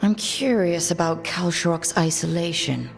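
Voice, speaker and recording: An actress playing a confident, wry adventurer in a video game, with a direct manner. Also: female voice, fairly low pitch, normal speed, vocal fry, soft background music. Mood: curious, earnest. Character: confident, wry adventuring woman, determined and teasing. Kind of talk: video game character acting.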